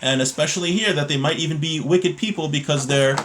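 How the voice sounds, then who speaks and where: A man's voice: on the high side, brisk, a bit bright, slight background noise. A man giving an informal religious lesson, reading from a text and explaining it in plain words, often asking questions and answering them himself.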